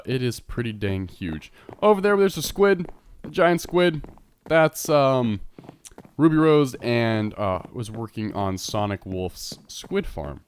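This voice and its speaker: A congested man gives a loose, rambling commentary over his game footage, often backtracking to correct himself. His voice sits low but swings widely in pitch. A faint hiss runs under the recording. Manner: rambling, self-correcting, chatty. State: congested, stuffed-up nose. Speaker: Minecraft server let's player. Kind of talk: casual gameplay commentary.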